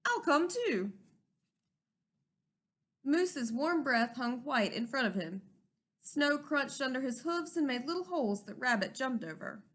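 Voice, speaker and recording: A woman reading a children's storybook aloud as a teacher would, in an expressive narrating style. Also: high voice, normal speed.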